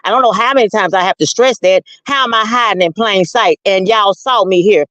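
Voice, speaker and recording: A woman with a mid-pitched voice in dry, close audio. She argues like an indignant call-in guest, insistent and informal, with pointed endearments. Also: fairly quick, a bit bright, no echo, lo-fi sound. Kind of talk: heated casual argument.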